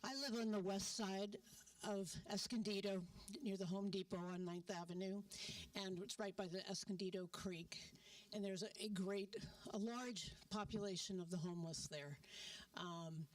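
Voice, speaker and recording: A woman's voice: medium pitch, fairly even intonation, normal speed, no echo, recorded from a distance, low-quality recording. A woman giving an earnest public comment at a community forum, with small restarts. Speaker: community member speaking at a forum. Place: church hall at a community meeting.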